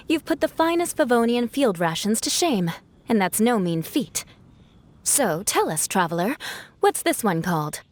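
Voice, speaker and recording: A woman's voice: fairly high pitch, big pitch swings, a little slow. An actress playing a refined, wry woman knight in a video game, speaking in articulate, formal phrases. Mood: amused.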